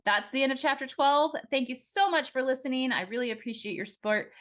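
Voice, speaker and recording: A woman reading her own novel aloud as scripted first-person audiobook narration, in an expressive storytelling manner. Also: sits high, fairly quick.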